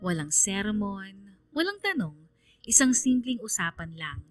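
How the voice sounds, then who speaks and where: A woman's voice: mid-range voice, very animated, a little slow, dry recording. An actress playing a reflective, shy female student who tells her story as radio drama narration. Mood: anxious, tender. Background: music under the voice.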